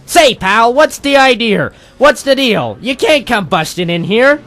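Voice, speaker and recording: A man playing an angry, brash lodger, loud and aggressive in a noir radio drama. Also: very high voice, expressive, measured pace, faint music behind, exaggerated voice. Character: angry, brash male lodger barking at an intruder.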